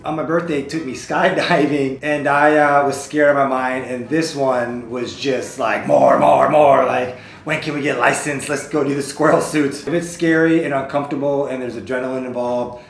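A man in his thirties, co-host of a couple's vlog, chatting in a jokey, animated way and laughing often. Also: mid-range voice, roomy sound, a little hiss or hum. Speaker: co-host, real estate agent. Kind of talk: casual chat. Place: office with desk and orchids, indoors.

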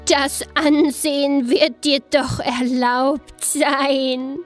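A woman's voice: sits high, level tone, drawn-out words, faint music behind. A woman voicing a sly old peddler woman in a fairy tale, calling out and coaxing.

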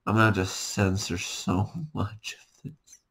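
A young man giving casual reaction commentary in an amused, halting way, often laughing through his words. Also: on the low side, little pitch movement, fairly bright tone. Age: young adult. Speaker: reaction streamer. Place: small bedroom, indoors.

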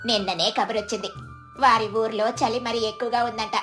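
Woman as a fussing, high-pitched village wife. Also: steady tone, fairly quick, a bit bright, faint music behind, close to an everyday voice. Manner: chiding, fussing. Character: lazy, wily village wife, doting on relatives.

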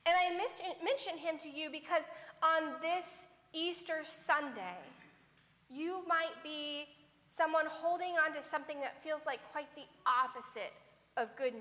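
A middle-aged woman pastor preaching a sermon from a church pulpit in a measured way, her voice dropping to a hush at the ends of phrases. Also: sits high. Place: large church sanctuary before a pipe organ. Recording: long church reverb.

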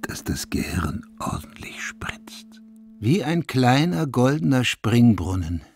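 An actor playing a deranged, vengeful madman in a German radio play, hushed and husky, savoring his cruel fantasies word by word. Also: mid-range voice, sing-song, slow pace, heavily acted. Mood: rapt, gloating. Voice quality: husky, gravelly, breathy whisper.

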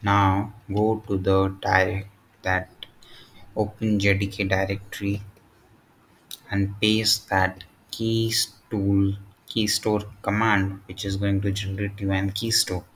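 A man with a fairly low voice presents a step-by-step software tutorial. He speaks very slowly, in short, halting phrases. There is faint noise behind his voice. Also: little pitch movement, low-quality recording.

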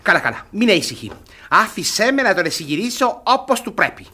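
An actor playing a grumpy, jealous, domineering middle-aged guardian in a classic radio comedy, blustering and sarcastic. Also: male voice, high voice, very animated, sharp tone, slightly rough, heavily acted. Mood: self-important.

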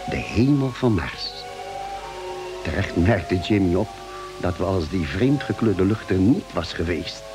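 Low-voiced, measured male storyteller. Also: dark tone, low-quality recording. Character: calm male doctor, narrator recalling a Mars expedition. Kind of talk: vintage radio drama narration.

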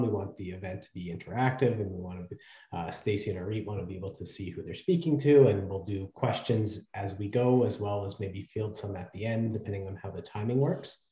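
Mellow, low-voiced man on a video call. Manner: friendly, slightly hesitant, with fillers. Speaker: event co-chair and moderator. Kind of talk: housekeeping announcements. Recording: echoey room over a video call.

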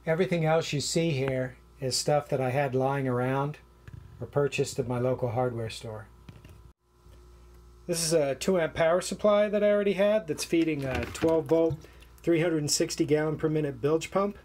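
A man's voice: a little high, moderate pace. Middle-aged man, laid-back vlog explainer, basement room on a camera mic with faint hum. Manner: relaxed, plain explaining with fillers. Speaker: vlogger. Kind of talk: casual show-and-tell walkthrough. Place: small basement utility room.

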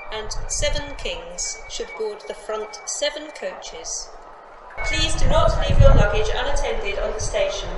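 A British woman making formal, measured scripted train announcements over a station PA, in pieced-together phrases. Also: a little high. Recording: echoey station PA, loud background noise. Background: loud station ambience, trains.

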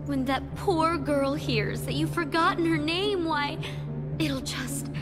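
Sadistic young female game villain, American, taunting, slow, slightly high voice over loud music. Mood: mock-sweet. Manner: taunting, mock-sweet. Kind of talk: video game cutscene acting.

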